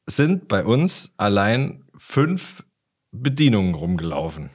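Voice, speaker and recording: A man's voice: fairly low pitch, big pitch swings, slow pace, breathy edge. A man chatting casually as a podcast co-host, with wry, joking remarks. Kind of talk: casual chat.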